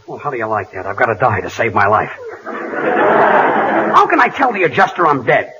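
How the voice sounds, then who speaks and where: A man's voice: medium pitch, wildly swooping pitch, moderate pace, heavy background noise. A man doing 1940s radio comedy as the exasperated, wisecracking star of the show, heard on a muffled old broadcast.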